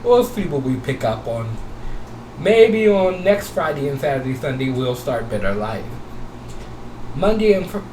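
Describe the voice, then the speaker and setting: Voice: mid-range voice, very animated, measured pace. A gaming video host giving casual commentary and a sign-off, gushing and repeating phrases over and over. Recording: noisy, echoey room, distant mic.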